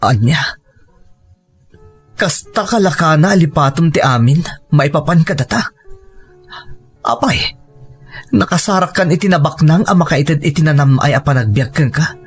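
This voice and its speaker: A man's voice: fairly high pitch, expressive, moderate pace, pauses often, soft background music, close to an everyday voice. A man playing an earnest, devoted suitor with a wounded streak in a melodramatic Ilocano radio drama. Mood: bitter, wounded.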